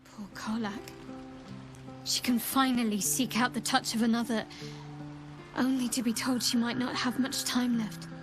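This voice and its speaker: Woman playing a guarded, high-voiced cleric. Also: level tone, a little slow, pauses often, over music, close to an everyday voice. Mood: pitying. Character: guarded, devout young female cleric. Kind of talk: video game acting.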